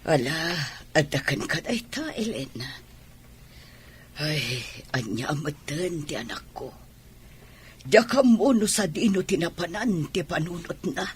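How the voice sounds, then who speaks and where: A woman's voice: deep voice, very animated, a little slow, slight background noise. A woman playing an aggrieved, protective older mother-in-law in an Ilocano audio drama, with an Ilocano Filipino accent. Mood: worried.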